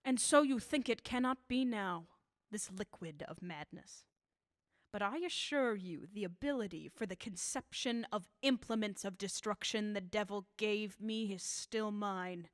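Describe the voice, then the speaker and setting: A woman acting in a radio drama as a bitter old scientist who invents deadly substances, with deliberate, formal, theatrical delivery. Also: medium pitch, dry recording, clean studio sound, close to an everyday voice. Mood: grimly proud.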